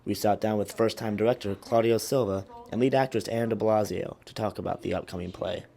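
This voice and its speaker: A man narrates a news report quickly from a script. His voice sits low and mellow, with a brisk, read delivery. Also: fairly even intonation, vocal fry. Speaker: student reporter.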